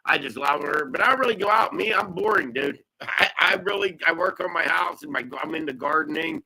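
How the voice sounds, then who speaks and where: A man's voice: fairly high pitch, a little fast, creaky, bright, forceful tone, breathy edge, low-quality recording. A man in his fifties, a podcast guest chatting casually, rambling and laughing often. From Pennsylvania. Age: 50s.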